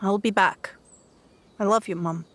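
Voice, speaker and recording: Woman playing a slow-spoken, anxious warrior daughter. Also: medium pitch, fairly even intonation, lightly acted. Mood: tender, hesitant. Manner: hesitant, wry, swears. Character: tough, blunt young warrior woman, anxious for her mother.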